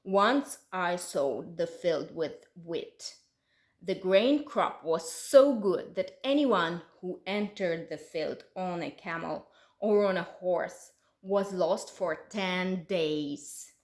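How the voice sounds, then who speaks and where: Slow, boastful rich older man acted by a woman. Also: on the low side, expressive, pitched below the performer's own voice. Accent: Uzbek. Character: fat, rich, boastful older man, greedy tall-tale teller. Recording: roomy, echoey sound.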